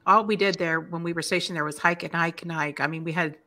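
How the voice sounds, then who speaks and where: A woman's voice: lowish voice, fairly even intonation, bright, forceful tone. A middle-aged woman co-hosting an interview on a video call, chatty and friendly. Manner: friendly, chatty, quick to agree. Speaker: podcast co-host. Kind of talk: casual interview chat. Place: home office.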